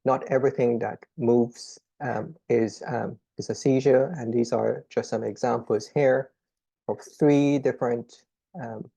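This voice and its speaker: A middle-aged man with a Jamaican accent giving a measured, explanatory conference lecture as a children's neurologist, pausing often on "um". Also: mid-range voice, creaky, mellow, husky, low-quality recording. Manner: measured, explanatory, frequent "um" pauses.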